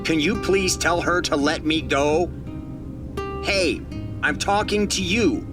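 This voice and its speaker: A man as a high-voiced, indignant sleazy villain. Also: slow pace. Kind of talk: dramatic audio drama acting. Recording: loud music over the voice.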